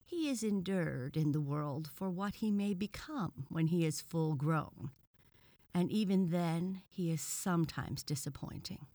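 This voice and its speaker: A woman reader acts out a male frog professor from a children's storybook. He is severe and self-important, lecturing at a very unhurried pace with sarcastic scorn. The pitch sits a little raised above plain narration. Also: expressive, dark tone, dry recording. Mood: scornful lecturing.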